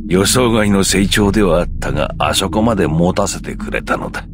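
A man's voice: low voice, a little fast, noisy background, natural voice. An actor playing an ancient scheming sorcerer, a cruel, mock-courteous old man who savors his archaic phrasing in a visual novel. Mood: satisfied. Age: older. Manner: archaic old man's speech, savoring, insinuating. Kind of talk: Japanese visual novel voice acting.

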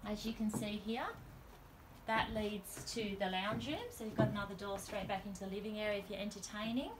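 A woman's voice: mid-range voice, flat delivery, a little fast. A young Australian woman showing viewers around a house and garden, listing features in short, admiring phrases while walking. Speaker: house tour presenter. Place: house and garden, indoors and outdoors. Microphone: handheld phone camera. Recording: echoey rooms, noisy outdoors.

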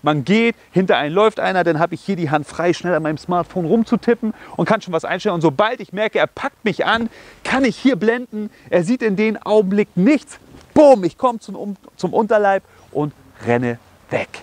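A man in his thirties, a little hoarse from a cold, talks an outdoor self-defense lesson through with plenty of energy. His voice sits a touch high, and he throws in bursts like "boom" and "bam" as he demonstrates. It is picked up on the camera from a few steps away in an open park. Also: moderate pace, no room sound. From Germany. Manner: energetic, explains with sound words like boom and bam. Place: outdoors on a grassy park slope.

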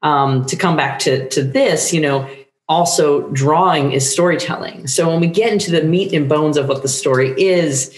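A middle-aged woman talking casually as an interview guest, animated, with meandering, halting phrasing. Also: low-pitched. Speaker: guest playwright. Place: book-lined room at home, indoors. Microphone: shared desktop microphone on video call. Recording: roomy home recording.

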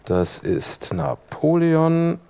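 Conversational man presenting a software workshop. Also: on the high side, very animated, drawn-out words, creaky, clean tone. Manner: conversational, colloquial, thinking aloud. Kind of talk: informal software tutorial talk, explaining step by step. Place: indoor conference room. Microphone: headset microphone.

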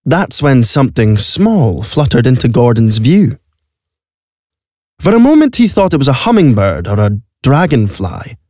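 A man with a medium-pitched voice narrates an audio play. He reads the scripted story dramatically, in a dry studio sound. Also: sing-song, unhurried, darkish tone, no echo. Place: recording studio.

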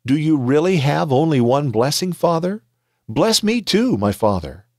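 A man's voice: medium pitch, very animated, moderate pace, dry recording, studio quality. A man reading aloud the words of a grieving eldest son who pleads in a scripture reading. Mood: pleading.

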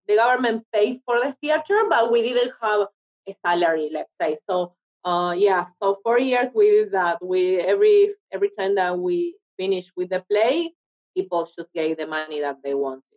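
A woman's voice: mid-range voice, low-quality recording. Woman, mid-range voice, chatting casually as a podcast guest. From Argentina. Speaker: podcast guest, actor and writer. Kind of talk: casual interview chat.

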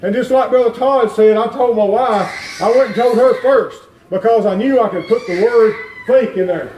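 A middle-aged man preaching a folksy revival sermon in a church, climbing into shouts. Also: very high voice, normal speed, recorded from a distance, slight background noise. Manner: folksy, plain-spoken, rises to shouting. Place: wood-paneled church sanctuary. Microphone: far room camera. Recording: echoey church heard from afar.

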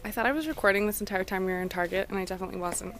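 A woman's voice: medium pitch, fairly bright tone. Young woman host, giggly and chatty, handheld camera in a store, faint store hum. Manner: giggly, chatty, quick to laugh. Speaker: vlogger. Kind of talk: casual shopping vlog chat. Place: large store indoors.

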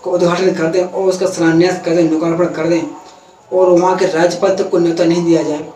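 A young man with a high, mellow voice talks through a news story to the camera. His delivery is earnest and steady, and he sometimes restarts a phrase. He sounds a bit far from the mic, in an echoey room with faint background noise. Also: a little fast. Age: young adult. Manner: earnest, steady explanatory delivery with occasional self-corrections. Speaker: news presenter. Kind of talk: opinionated news explainer to camera. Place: indoor room, parliament backdrop added behind. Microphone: camera a few feet away, no mic in view.

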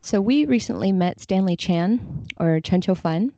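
Podcast guest, female, mellow and explanatory, conversational delivery, close dry sound with a muffled lo-fi edge. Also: medium pitch, animated, moderate pace, dry recording. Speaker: podcast guest, literary translator. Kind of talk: casual interview conversation.

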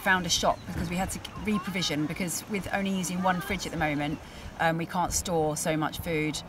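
A woman's voice: fairly low pitch, fairly even intonation, brisk. A woman in her forties presenting a sailing vlog in a casual, chatty style, recorded outdoors on a camera. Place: outdoors at a harbour and on a sailboat. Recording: distant mic, noisy outdoor boat ambience.

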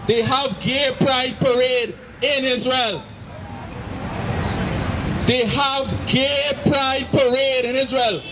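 A middle-aged man with a Trinidadian accent, preaching forcefully outdoors into a handheld mic and repeating his points. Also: very high voice, fairly even intonation, slow pace, pauses often. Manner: forceful, repetitive, shouting at times. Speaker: street preacher. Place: city street outdoors, crowd around. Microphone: handheld mic through a loudspeaker. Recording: noisy street with crowd.

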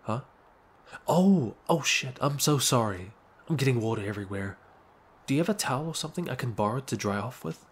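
A man's voice: medium pitch, animated, fairly quick, slightly rough. A young man playing a shy, awkward, apologetic neighbor in an ASMR roleplay, speaking hesitantly. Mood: sheepish, apologetic.

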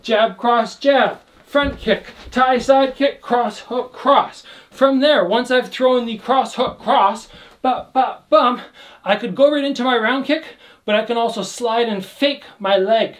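Energetic young man coaching kickboxing. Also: very high voice, takes it slowly. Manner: calls out combos while demonstrating, energetic. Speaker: kickboxing instructor. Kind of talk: workout instruction. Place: indoor room with trophy shelves.

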